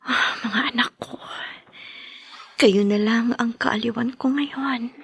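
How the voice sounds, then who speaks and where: A woman with a Filipino accent acting a caring, gentle young mother in a radio drama. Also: a little high, fairly even intonation, slow pace, creaky, husky, exaggerated voice. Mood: sad.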